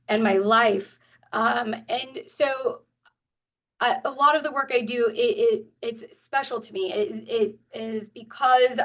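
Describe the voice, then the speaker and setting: A woman in her forties gives an earnest presentation at a community meeting. Her voice sits a bit high for a woman, often pausing on fillers and restarting her sentences. She is picked up from some distance away from the mic. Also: fairly bright tone. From Northern California. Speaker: guest presenter.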